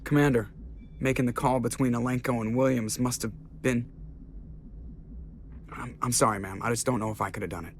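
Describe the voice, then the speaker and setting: A man's voice: medium pitch, fairly even intonation, a little fast, long pauses, natural voice. A man playing a loyal young starship pilot in a video game, casual and plainspoken. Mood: troubled, sincere. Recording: faint background ambience noise.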